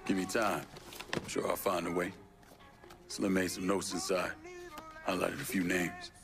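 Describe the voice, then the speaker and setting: An actor playing a cool, tough young outlaw with a Southern US accent, calm and laconic, in a video game. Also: male voice, sits low, a little slow, music in the background. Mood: dry. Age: young adult. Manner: calm, laconic, matter-of-fact. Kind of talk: video game cutscene acting.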